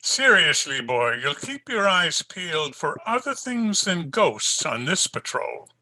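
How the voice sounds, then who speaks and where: Man playing a sharp, blustery police sergeant. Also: fairly high pitch, very animated, a little slow, heavily acted. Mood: teasing, cautioning. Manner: gruffly bossy, folksy, teasing. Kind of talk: old-time radio drama acting.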